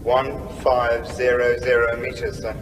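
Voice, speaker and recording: An actor in a radio drama playing an obedient submarine officer who makes clipped, formal reports. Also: male voice, mid-range voice, flat delivery, a little slow, lightly acted. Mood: dutiful. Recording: distant, echoing, noisy.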